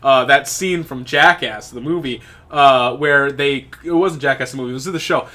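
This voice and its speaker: A man's voice: mid-range voice, normal speed, sharp tone. A man co-hosting a podcast in casual comedic banter, throwing in jokes and playfully acting out quotes. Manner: joking interjections, playfully acted-out quotes. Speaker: podcast co-host.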